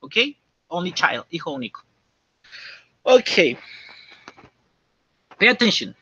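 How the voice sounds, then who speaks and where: A man teaching English, dictating slowly and checking often that students understand. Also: sits high, big pitch swings, pushed and bright, breathy edge. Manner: slow and repetitive, checking understanding. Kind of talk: classroom dictation lesson. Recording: dull lo-fi classroom recording.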